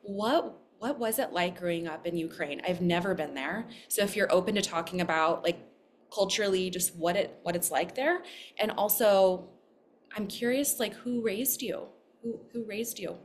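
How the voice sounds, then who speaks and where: A woman's voice: mid-range voice, normal speed, slight background noise. A woman hosting an interview in a casual, conversational way, peppering her informal questions with "like" and "kind of". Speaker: podcast host and interviewer.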